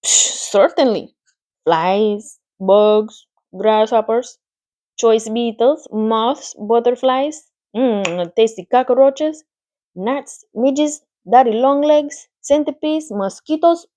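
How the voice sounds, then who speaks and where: A woman's voice: fairly high pitch, slow, mellow, pitched above the performer's own voice. A woman reading a storybook aloud, voicing a clever, matter-of-fact female spider in a pleasant, thin voice with a put-on accent. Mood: relishing. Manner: put-on accent, relishing, lecturing.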